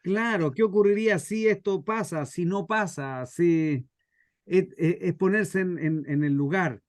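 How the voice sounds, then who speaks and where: A man's voice: high-pitched, unhurried, dry recording, clean studio sound. A man with a Chilean accent teaching informally as a guest instructor, telling anecdotes and posing rhetorical questions.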